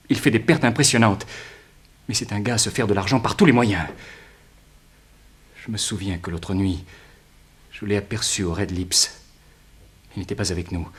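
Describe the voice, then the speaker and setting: An actor playing an anguished, naive young student who confesses earnestly, with a husky voice, in a 1960s French radio crime drama. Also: male voice, on the low side. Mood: anxious explaining. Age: young adult. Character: anguished young male student, naive and guilt-ridden suspect.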